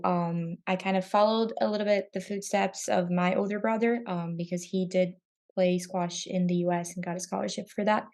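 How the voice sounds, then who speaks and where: A young woman from Brazil speaks as a podcast guest, thoughtful and unhurried, with plenty of "um"s. Her voice is warm and mellow and sits a little low for a woman. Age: young adult. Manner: thoughtful, with frequent "um"s. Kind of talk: casual interview.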